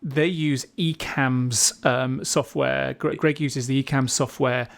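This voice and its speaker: A man co-hosting a casual tech chat, explaining details in a measured, careful way. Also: medium pitch, steady tone, vocal fry. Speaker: podcast co-host.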